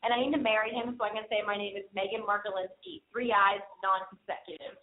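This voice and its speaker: A woman's voice: mid-range voice, fairly even intonation, quick pace. A woman from the United States leaving a casual message on a tinny, echoey phone line. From Pittsburgh, United States. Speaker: voicemail caller.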